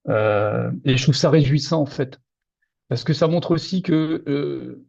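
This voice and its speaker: Older man, native Platt speaker, from Moselle, dark husky voice, hesitant restarts, dull video call audio. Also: mid-range voice, a little slow. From Moselle-Est, France. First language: Lorraine Franconian (Platt). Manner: hesitant, many restarts, animated. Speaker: author. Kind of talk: casual literary discussion.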